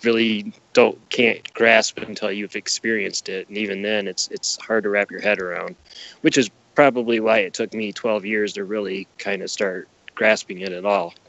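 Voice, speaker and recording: A man's voice: on the low side, normal speed, vocal fry, fairly bright tone, no echo, rough recording. A man speaking as an interview guest in a casual podcast, explaining earnestly in a rambling way with repeated words.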